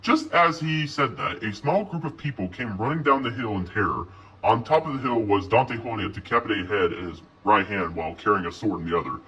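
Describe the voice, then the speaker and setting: A man telling a scripted horror story in an expressive, first-person narration. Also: fairly low pitch, brisk, lo-fi sound. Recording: voice distant from mic.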